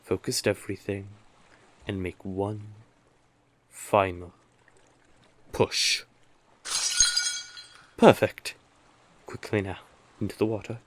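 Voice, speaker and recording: Guy doing a tentacled merman sea witch for audio roleplay, really slow n kinda deep, coaxing, doting but bossy, stately wording. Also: steady tone, noisy background. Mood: urgent, commanding. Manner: coaxing, stately, elaborately worded. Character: cursed male tentacled merfolk sea witch, doting, commanding. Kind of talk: scripted audio roleplay addressed to the listener. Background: ambient sound effects.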